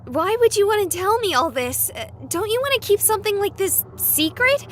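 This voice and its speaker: A woman's voice: extremely high pitch, sing-song, normal speed, fairly bright tone, a little hiss or hum, heavily acted. An actress voicing a young woman streamer, skeptical and sarcastic but rattled by a haunting. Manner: sarcastic, flustered. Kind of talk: visual novel voice acting.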